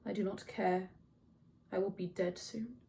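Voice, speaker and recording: A woman's voice: a little high, slow pace, warm tone, a little hiss or hum. A woman voicing a fierce young male warrior broken by grief, in short, strained, cracked phrases, as in an audiobook. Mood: numb, bleak. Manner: short, strained utterances, speaking with effort.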